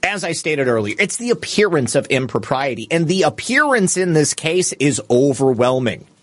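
A man's voice: fairly high pitch, big pitch swings, moderate pace, dry recording. A man hosting a political livestream, giving opinionated news commentary with casual asides in a scornful, indignant, crudely humorous manner.

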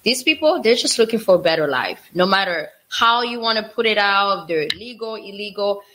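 A woman's voice: mid-range voice, expressive, normal speed, sharp tone, poor audio. A woman from Africa co-hosting a casual talk show, speaking with passion and repeating phrases for emphasis. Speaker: co-host. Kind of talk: casual opinion chat.

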